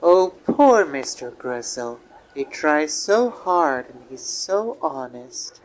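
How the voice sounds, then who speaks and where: An actress playing a sympathetic small-town customer in an audio drama. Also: female voice, sits low, sing-song, slow pace, exaggerated voice, poor audio. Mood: pitying. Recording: faint background noise and ambience.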